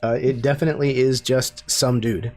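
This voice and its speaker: A man narrating a tabletop role-playing game as game master, relaxed and hesitant, with many fillers. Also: mid-range voice, moderate pace.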